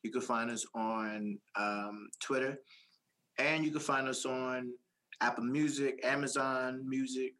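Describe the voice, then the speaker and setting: Male co-host at a middling pitch, easygoing and jokey, searches for words mid-sentence. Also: steady tone, sharp tone. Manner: halting, many ums and restarts, playful. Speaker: podcast co-host. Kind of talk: casual chat.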